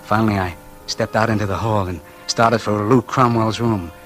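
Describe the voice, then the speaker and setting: An actor playing a bitter, numb man in a doomed crime story, in halting, brooding 1940s radio noir style. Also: lowish voice, fairly even intonation, fairly bright tone, natural voice. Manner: halting, brooding first-person delivery. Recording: vintage radio drama, music underneath.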